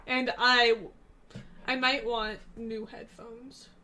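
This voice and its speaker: A woman's voice: on the high side, level tone, takes it slowly, pushed and bright. A young adult woman co-hosting a podcast in easygoing, giggly casual chat, her voice a little scratchy, over a remote call. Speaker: podcast co-host. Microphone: remote call, old headphones.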